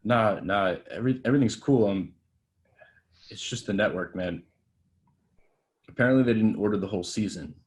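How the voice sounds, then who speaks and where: A brash young male actor down on his luck, read by a man at a table read talks in a casual, sardonic way. His voice is fairly low. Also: moderate pace, pauses often, natural voice.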